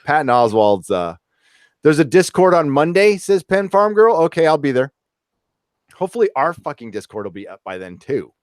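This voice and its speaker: A man's voice: fairly high pitch, big pitch swings, normal speed, no room sound, clean studio sound. A man in his forties hosting a podcast, chatting casually. He laughs often and swears freely. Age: 40s. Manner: laughs often, loose and profane. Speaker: podcast host. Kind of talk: casual chat.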